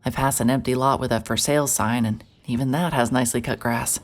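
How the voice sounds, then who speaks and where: A woman's voice: extremely low pitch, fairly even intonation, a little fast, slight background noise. A woman narrating in scripted first person as a world-weary private investigator, dry and wry in tone. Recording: music bed under narration.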